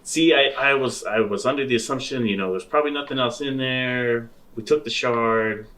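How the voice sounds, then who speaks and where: A man playing a sheepish space adventurer in improvised tabletop roleplay, speaking haltingly and repeating himself. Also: mid-range voice, pushed and bright, distant mic, natural voice. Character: sheepish male space adventurer reporting back.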